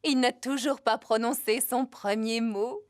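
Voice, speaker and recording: A woman playing a gentle, proud mother of a baby in a children's cartoon dub. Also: on the high side, steady tone, a little slow, dry recording, studio quality, lightly acted. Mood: fond.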